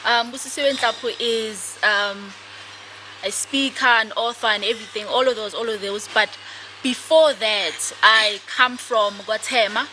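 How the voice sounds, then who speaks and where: A young woman from South Africa, a guest author answering interview questions in a soft, hesitant way with many fillers. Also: fairly high pitch, unhurried. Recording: noisy podcast recording.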